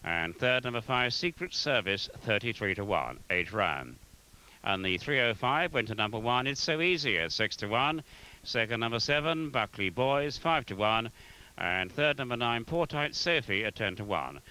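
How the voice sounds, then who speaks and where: A man reading out horse racing results in a formal, measured, scripted manner. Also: medium pitch, expressive, sharp tone, poor audio.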